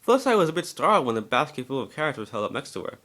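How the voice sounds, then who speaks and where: A man's voice: mid-range voice, sing-song, very fast, a bit bright. A man narrating a scripted story as an amateur dramatic reading, now and then stumbling over words.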